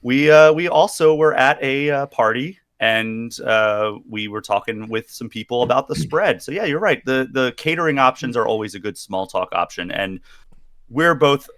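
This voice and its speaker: A man's voice: medium pitch, expressive, moderate pace, fairly bright tone, no echo. A man from Maryland co-hosting a casual livestream, chatty and rambling, laughing easily. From Maryland, USA. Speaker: magazine livestream co-host. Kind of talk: casual chat.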